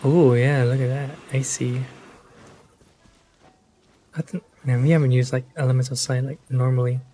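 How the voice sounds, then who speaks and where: A man's voice: mid-range voice, flat delivery, normal speed, warm tone, slight background noise. A man giving casual, rambling, hesitant commentary as he plays through a game, with slangy phrasing. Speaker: game streamer.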